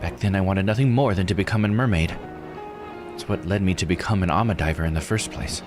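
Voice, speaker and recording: Man playing a weary diver woman, very low pitch, confiding, regretful, visual novel, music behind. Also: quick pace, lightly acted. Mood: wistful. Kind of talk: reading visual novel dialogue aloud. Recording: music under the voice. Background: game music.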